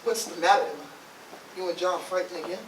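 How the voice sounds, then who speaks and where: Man as a nagging busybody, high and fast, urgent, stage drama, echoey and distant. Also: lightly acted. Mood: surprised. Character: busybody contestant, urgent and surprised, Dinky. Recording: echoey, distant mic, noise, clicks.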